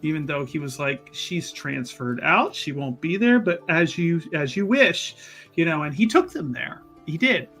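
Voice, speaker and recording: A man's voice: on the high side, sing-song, measured pace, fairly bright tone, soft background music. A man chatting casually as a player in a tabletop game, wry and mock-earnest, with emphatic phrasing. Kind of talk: casual banter and commentary.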